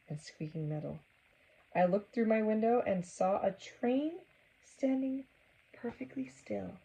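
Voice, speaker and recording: A woman reading a storybook aloud for children, gently engaging them with asides and questions. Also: medium pitch, creaky, slight background noise, rough recording.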